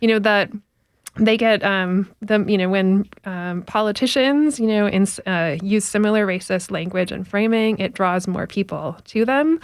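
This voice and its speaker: Woman professor, analytical, many fillers, smooth clear tone, dry close sound; radio guest. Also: medium pitch, normal speed, no room sound. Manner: animated, analytical, frequent fillers, laughing asides. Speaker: university professor and researcher. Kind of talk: radio interview.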